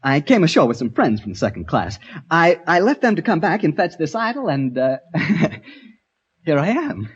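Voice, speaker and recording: Nervous young gentleman, swooping pitch, hesitant, courteous, radio drama, muffled lo-fi sound. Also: sing-song, moderate pace, husky. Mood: flustered, polite. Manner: hesitant, stammering, well-mannered. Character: nervous, polite young male tourist, chivalrous and flustered. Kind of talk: radio drama acting, with first-person narration. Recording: dull, lo-fi old radio recording.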